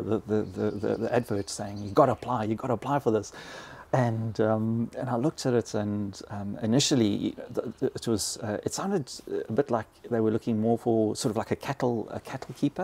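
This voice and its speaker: A man's voice: on the low side, vocal fry, a little mellow. A South African man in his fifties talking in a podcast interview, hesitant and often repeating words. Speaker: bison ranger, podcast guest. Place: small log cabin. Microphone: close podcast mic on boom arm.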